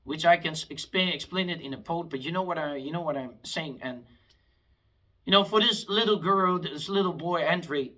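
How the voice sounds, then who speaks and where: A man's voice: on the high side, moderate pace, bright, forceful tone, poor audio. A man, delivering a heated, rambling vlog monologue full of restarts. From Afghanistan. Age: 20s. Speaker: vlogger.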